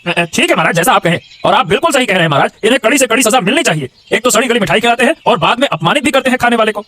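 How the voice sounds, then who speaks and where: A man's voice: very high-pitched, lively intonation, very fast, bright, forceful tone, a little hiss or hum, heavily acted. A man voicing an eager, obsequious royal servant in a cartoon, with a fawning, deferential manner. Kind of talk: cartoon moral-story voice acting.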